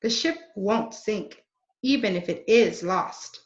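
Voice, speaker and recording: A woman's voice: medium pitch, expressive, slow. A woman reading a storybook, voicing an earnest, inquisitive and practical young boy. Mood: matter-of-fact. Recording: roomy, dull lo-fi recording.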